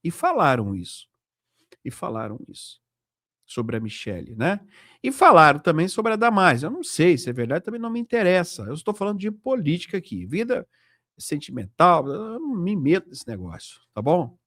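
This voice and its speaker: Brazilian man, higher-pitched, talking straight to viewers. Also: very animated, normal speed, fairly bright tone, dry recording, clean studio sound. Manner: conversational, repetitive, direct address with frequent tag questions. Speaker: host of a political video channel. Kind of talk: informal commentary and donation appeal to viewers.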